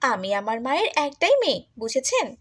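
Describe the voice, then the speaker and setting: Woman doing a spoiled bratty young stepsister, cartoon style, really high pitched, rude and jealous. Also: very animated, normal speed. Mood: haughty. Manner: haughty, rude, whining. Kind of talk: Bengali cartoon fairy-tale acting.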